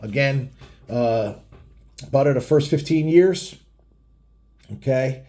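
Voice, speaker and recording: A man's voice: medium pitch, takes it slowly, dark tone. A middle-aged man telling a rambling personal story casually, with blunt, crude and heavily profane talk. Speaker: biker vlogger telling stories.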